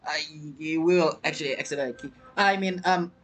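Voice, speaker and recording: A gaming streamer giving casual, halting live commentary and chattily talking back to game characters. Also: medium pitch, fairly bright tone.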